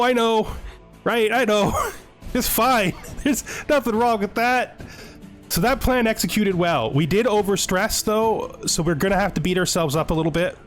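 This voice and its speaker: A man with a fairly high-pitched voice hosts a casual let's play of a strategy roleplay game. He thinks out loud and reads bits of game text as he goes. Music plays behind his voice. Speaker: gaming video host. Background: background music.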